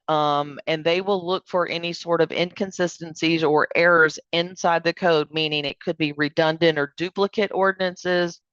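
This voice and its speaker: A woman, hoarse from allergies, explaining a proposal step by step over a video call. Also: deep voice, a bit bright, dry recording, highs cut off. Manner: explanatory, step-by-step, uses fillers. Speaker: code publishing vendor presenting remotely. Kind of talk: remote business presentation.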